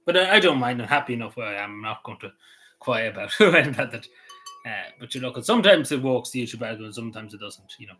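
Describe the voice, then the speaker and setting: A man's voice: mid-range voice, lively intonation, fast, sharp tone, faint music behind. An Irish man chatting casually on a livestream, answering his viewers in a rambling way, full of "you know" and restarts. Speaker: YouTuber answering live chat.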